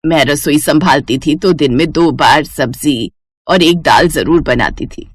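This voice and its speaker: A woman's voice: sits low, unhurried, slightly rough, no echo, studio quality, voice pushed down. A woman voicing a stern, grumbling old mother-in-law in a scolding manner for a cartoon moral story. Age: older. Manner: scolding, complaining.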